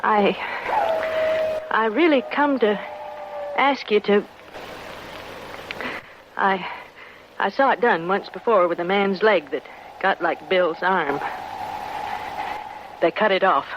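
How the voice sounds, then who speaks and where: A woman's voice: mid-range voice, slow, pauses often, close to an everyday voice. An actress plays a frontier wife with a hard past. She speaks plainly and hesitantly, with earnest worry and folksy turns of phrase. The old radio recording is dull and noisy. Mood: earnest, pleading. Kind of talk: old-time radio western acting. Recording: muffled, noisy vintage radio broadcast.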